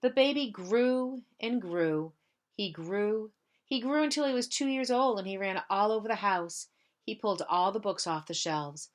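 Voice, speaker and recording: A woman's voice: mid-range voice, moderate pace. A woman reading a picture book aloud to young pupils as a member of school staff, lingering on repeated phrases. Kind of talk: children's storybook read-aloud.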